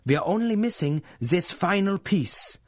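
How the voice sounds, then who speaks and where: An actor playing a suave, calculating young German professor with a German accent and a formal, unctuous, deliberate way of speaking, in a 1930s-style radio drama. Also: male voice, high voice, big pitch swings. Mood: knowing, intense.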